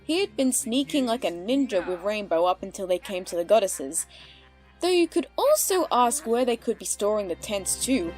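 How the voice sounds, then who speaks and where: A woman's voice: medium pitch, very animated, moderate pace, a little mellow, faint music behind, rough recording. A woman in her twenties with an Australian accent, giving casual explanatory commentary as the creator of an audio drama.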